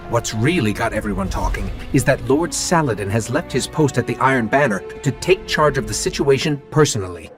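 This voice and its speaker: An actor playing a keen, wry male scout in a video game, giving briefings in a brisk, conversational way. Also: mid-range voice. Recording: music behind the voice.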